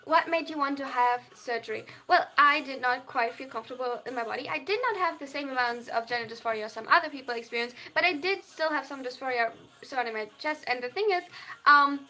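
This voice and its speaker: A young adult, reading viewer chat aloud on a livestream and answering at length. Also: female voice, high voice, a little fast, bright, forceful tone, poor audio. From Germany. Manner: reads viewer chat aloud and answers, tends to over-explain. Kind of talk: casual live-stream chat.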